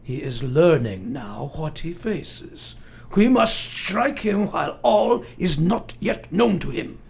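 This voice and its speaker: A solemn older scholar who hunts vampires in a radio play. His voice sits fairly high for a man and crackles with gravel. He speaks gravely and protectively, in formal, antique turns of phrase. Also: animated, takes it slowly, heavily acted. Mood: grave, resolute. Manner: formal old-fashioned phrasing with foreign-sounding grammar.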